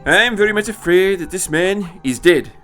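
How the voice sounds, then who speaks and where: A reader voicing a testy, patronising elderly male doctor in an audio story, with a theatrical, deliberate and querulous delivery. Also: medium pitch, a bit bright, soft background music, voice pushed up, lightly acted. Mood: grave, matter-of-fact. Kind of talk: audio short story character reading.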